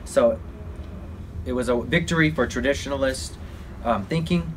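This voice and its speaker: A man giving an informal, halting explainer as a mock news report, in simple slangy terms. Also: mid-range voice, a little hiss or hum. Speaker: presenter in a student history project.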